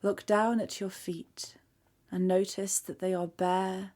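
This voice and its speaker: Slow, hushed woman guiding meditation. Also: mid-range voice. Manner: soft, calm, hushed, half-whispered at times. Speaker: meditation guide. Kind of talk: guided meditation.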